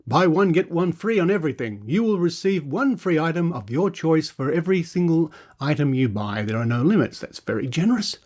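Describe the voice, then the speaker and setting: A man's voice: on the high side, expressive, fairly quick, few pauses, a little mellow. A man hosting a podcast, reading out adverts and adding dry, sarcastic asides. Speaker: podcast host.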